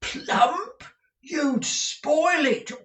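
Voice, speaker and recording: A man voicing a bombastic middle-aged theatre manager, loud and theatrical, in a roomy echo. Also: sits high, sing-song, slow, recorded from a distance, voice pushed up, exaggerated voice. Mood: horrified.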